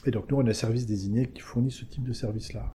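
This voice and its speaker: Hesitant man with a mellow voice. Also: medium pitch, steady tone, very fast, a little hiss or hum. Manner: hesitant, frequent fillers and restarts; tags phrases with "hein". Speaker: interviewed community organization manager. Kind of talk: radio interview answers.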